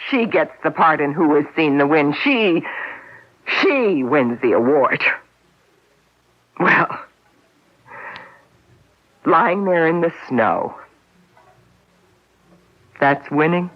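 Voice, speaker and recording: An actress playing a distraught, jealous wife in a radio drama, halting and emotional as she defends herself against a murder accusation. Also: low voice, animated, exaggerated voice. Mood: bitter defiance. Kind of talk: 1970s radio drama acting. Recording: dull lo-fi radio recording.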